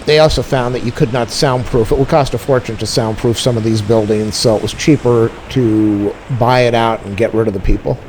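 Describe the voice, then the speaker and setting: A man's voice: mid-range voice, fairly even intonation, brisk, few pauses, a little mellow, a little hiss or hum, rough recording. A man giving casual, rambling, explanatory off-the-cuff narration as a plane-spotting vlogger outdoors.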